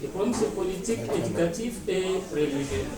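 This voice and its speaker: A man's voice: sits high, lively intonation, a little fast, over a noisy crowd. A man from Kinshasa speaking emphatically and indignantly in a debate, repeating his points. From Congo (Kinshasa). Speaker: audience member. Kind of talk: impassioned debate intervention. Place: conference hall with audience. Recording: echoey hall, distant mic, audience.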